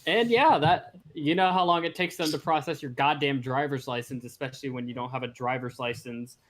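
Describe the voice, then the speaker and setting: A man co-hosting a podcast, telling an anecdote in an animated, casual way. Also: medium pitch, brisk. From American South. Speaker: podcast co-host. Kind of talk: casual storytelling.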